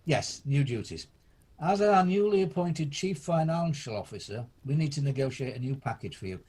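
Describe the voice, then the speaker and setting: A man playing old Ebenezer Scrooge, a gruff miser turned benefactor, in an amateur radio play, with a husky, deliberate, theatrical delivery. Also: on the high side, lively intonation, a little mellow. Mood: teasing, commanding.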